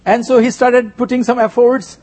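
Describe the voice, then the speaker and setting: High-voiced Indian male preacher, husky. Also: steady tone, moderate pace, darkish tone. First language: Gujarati. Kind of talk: sermon delivered in short phrases for an interpreter.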